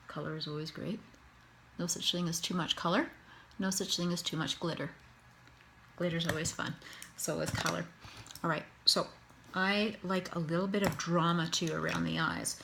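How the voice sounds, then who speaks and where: A woman's voice: on the low side, gravelly, a little hiss or hum. A Canadian woman with a Canadian accent, chatting casually and thinking aloud as she demonstrates face painting on herself. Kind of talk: casual tutorial narration while painting herself.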